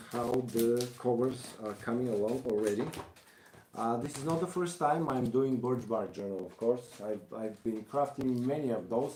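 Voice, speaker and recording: A man from Bulgaria with a Bulgarian accent, giving a casual live craft demonstration, haltingly thinking aloud as he works. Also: medium pitch, dark tone, echoey room, slight background noise, poor audio. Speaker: craftsman hosting a live stream.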